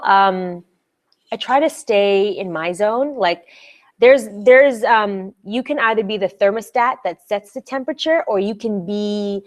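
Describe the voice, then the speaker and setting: Young woman from Canada, mid-pitched, casual live-streamer, giggly and chatty, lots of fillers. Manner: bubbly and chatty, giggles often, many fillers and restarts. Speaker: YouTuber hosting a live Q&A. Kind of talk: casual live-stream chat answering viewer comments.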